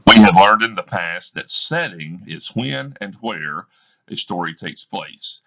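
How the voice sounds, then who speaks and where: A male teacher reading a play aloud for a class, explaining slowly and deliberately. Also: low voice, expressive, gravelly, bright, forceful tone, dull audio.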